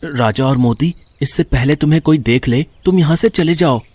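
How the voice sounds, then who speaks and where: A man voicing a gentle, lonely young orphan boy in an animated story, with an earnest, polite, childlike manner. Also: fairly high pitch, moderate pace, no room sound, lightly acted. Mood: friendly, urging. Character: lonely, gentle seven-year-old orphan village boy. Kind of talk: Hindi animated story voice acting.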